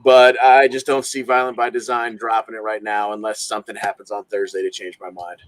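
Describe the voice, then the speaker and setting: A man's voice: lowish voice, flat delivery, a little fast. A man giving casual sports opinions as a podcast panelist, leaning emphatically on his words.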